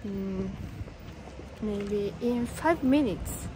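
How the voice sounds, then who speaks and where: A woman's voice: mid-range voice, extremely slow, over music. A young woman from Japan with a Japanese accent, chatting casually on a live stream while walking outdoors and holding the camera at arm's length. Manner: chatty, reads viewer comments, hums song snippets. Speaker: vlogger. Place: suburban street outdoors, walking. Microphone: phone or action camera at arm's length. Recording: noisy outdoor street sound.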